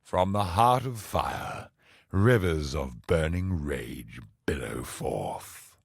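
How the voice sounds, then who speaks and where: An actor playing a grandiose, brooding godlike cosmic villain in a video game, speaking in solemn, pompous, poetic monologue with a gravelly, husky voice. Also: male voice, very deep voice, expressive, slow, dry recording, clean studio sound, full character voice. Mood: somber, contemptuous.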